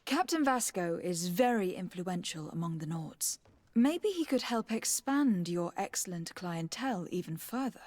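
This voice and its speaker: A woman's voice: lowish voice, lively intonation, a little slow, a little mellow, no echo. An actress playing a poised, courteous young noblewoman diplomat in a video game, speaking formally and reassuringly. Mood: composed. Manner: polite, composed, formal. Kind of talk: scripted video game dialogue.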